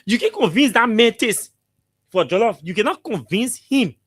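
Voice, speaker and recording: Animated Liberian man, higher voice. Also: moderate pace, breathy edge, dry recording, clean studio sound. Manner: animated, emphatic, repeats himself. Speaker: talk show host. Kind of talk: radio talk show discussion. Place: radio studio.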